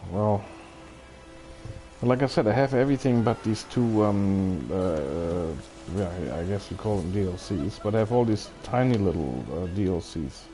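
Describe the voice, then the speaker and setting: A man with a fairly low, mellow voice livestreams a video game. He rambles casually to viewers, hesitating and thinking aloud. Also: normal speed, soft background music.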